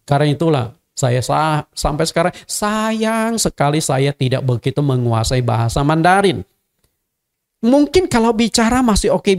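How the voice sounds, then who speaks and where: A middle-aged man from Indonesia, a native Hakka speaker, giving a conversational sermon as a pastor and speaking directly to his congregation. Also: high voice. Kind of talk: sermon teaching.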